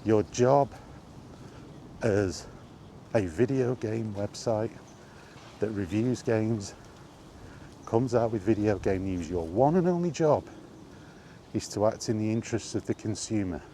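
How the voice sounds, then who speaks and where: A man giving an unscripted opinion monologue in an emphatic, deliberate manner, leaning on rhetorical questions and repetition. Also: lowish voice, dark tone, slight background noise. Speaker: video host sharing opinions on gaming.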